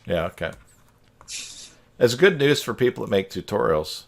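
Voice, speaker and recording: A man's voice: mid-range voice, sing-song, moderate pace, creaky. A man in casual conversation on a group video call, thinking aloud in a rambling, tentative way. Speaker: participant in a web tutorial livestream.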